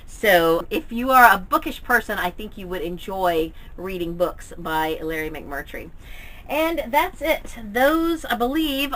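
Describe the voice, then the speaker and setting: A woman with an American accent and a clearly bright, forward voice at a medium pitch. She talks in a casual, chatty way, like a book vlogger sharing frank opinions, and leans on words for emphasis. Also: rough recording. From Oklahoma, United States. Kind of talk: casual monthly reading wrap-up, chatty opinion.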